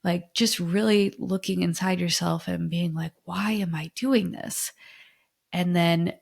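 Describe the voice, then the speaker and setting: A young woman hosts her own podcast in a chatty, earnest manner. Her voice sits low with a gravelly fry, and a lingering cold makes it a touch raspy and nasal. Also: steady tone, a little slow, a little mellow. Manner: chatty and earnest, with filler words. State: getting over a cold, a bit raspy and nasal. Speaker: podcast host. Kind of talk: casual solo podcast talk.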